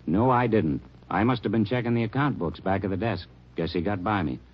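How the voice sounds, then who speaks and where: An actor playing a nervous, defensive small-hotel manager in old radio drama style, hesitant and rambling, on an old radio recording. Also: male voice, fairly low pitch, brisk, breathy edge, dull audio. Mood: uneasy. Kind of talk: 1940s-50s radio acting.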